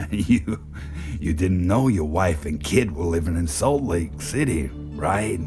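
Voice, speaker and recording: A smug crime boss from an audio drama. He speaks slowly in a low voice that swings widely as he taunts. The menace is drawn out and contemptuous, with music underneath. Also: animated. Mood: taunting, menacing.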